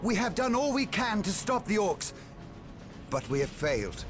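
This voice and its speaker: Brief: a respectful soldier colonel, mid-pitched, lively intonation, music under the dialogue. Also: male voice, normal speed. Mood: deferential. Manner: deferential, respectful. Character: deferential middle-aged male imperial guard colonel. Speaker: game character. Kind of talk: video game cutscene acting. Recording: game cutscene with music underneath.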